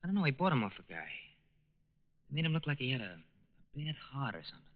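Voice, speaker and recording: An actor in a 1940s radio drama playing a discontented, scheming teenage war plant worker with slangy, grumbling speech. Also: male voice, medium pitch, big pitch swings, fairly quick, close to an everyday voice. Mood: sly, persuasive. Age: young adult. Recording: muffled 1940s radio recording.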